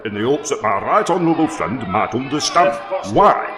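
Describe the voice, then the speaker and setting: A man's voice: mid-range voice, big pitch swings, moderate pace, roomy sound, noisy background, lightly acted. A man playing a furious, indignant opposition politician in a comic audio drama.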